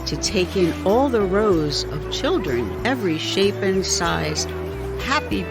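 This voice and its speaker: A woman reading her own rhyming poem in a measured, rhythmic manner. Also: lowish voice, rough recording. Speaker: writer reading own work.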